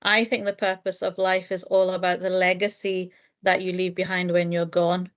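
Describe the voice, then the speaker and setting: A woman's voice: on the low side, little pitch movement, normal speed, lo-fi sound. Earnest, thoughtful woman speaking as a guest. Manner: thoughtful, earnest, with frequent fillers and soft laughs. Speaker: guest, primary teacher and lecturer. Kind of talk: reflective interview conversation.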